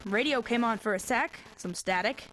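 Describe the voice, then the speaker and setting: A woman's voice: a little high, moderate pace, a bit bright, slight background noise, natural voice. A woman playing a stranded survivor in an audio drama, rambling and joking wryly into a radio in a conversational way. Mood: joking, hopeful. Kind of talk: audio drama monologue sent as a radio broadcast.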